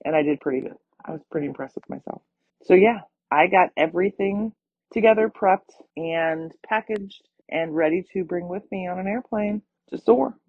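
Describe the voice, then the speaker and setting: A tired woman with a hoarse, scratchy voice, hosting her own podcast in a chatty, upbeat way. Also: lowish voice, moderate pace, no room sound, phone-quality sound. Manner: chatty, upbeat, with small laughs. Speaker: podcast host. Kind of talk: casual solo podcast talk.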